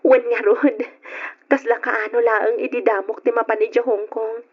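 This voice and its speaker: Radio drama role: affectionate young Ilocano-accented woman, played by an actress, high-pitched, on a dull lo-fi recording. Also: fairly even intonation, normal speed, lightly acted. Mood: warm, glad. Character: affectionate young woman back from working abroad, longing to marry. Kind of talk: old-time Ilocano radio drama acting.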